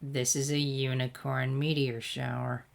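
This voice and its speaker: A woman's voice: rumbling low, unhurried, vocal fry, voice pushed down, heavily acted. A woman voicing a guarded, thoughtful teenage girl in a story reading, dry and hesitant. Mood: wry. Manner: muttering, deadpan, hesitant.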